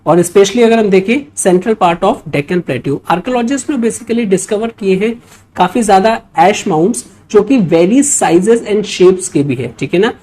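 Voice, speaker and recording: A woman's voice: mid-range voice, measured pace, warm tone, poor audio. A woman with an Indian accent lecturing as an exam-prep teacher, explaining points step by step and often checking in with short tags. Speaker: history teacher for exam preparation. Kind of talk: explanatory lecture working through quiz questions.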